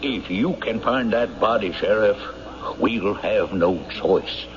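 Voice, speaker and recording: A man playing a persuasive small-town banker and civic leader in a radio drama, measured, insinuating and argumentative. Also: lowish voice, noisy background. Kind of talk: old-time radio drama acting. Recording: dull old radio broadcast.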